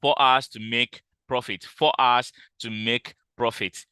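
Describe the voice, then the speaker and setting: Loud guy running a trading class online, bright crisp voice, lots of uh and um, dry close sound. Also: mid-range voice, steady tone, slow, no room sound. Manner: explanatory, repeats points, frequent fillers and restarts. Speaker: trading instructor.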